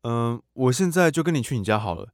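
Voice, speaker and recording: An actor playing a polite but secretly scheming young student in a radio drama, with a Taiwanese Mandarin accent. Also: male voice, medium pitch, expressive, fast talker, no echo, studio quality, natural voice. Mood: polite, helpful. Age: young adult. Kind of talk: radio drama acting with inner monologue.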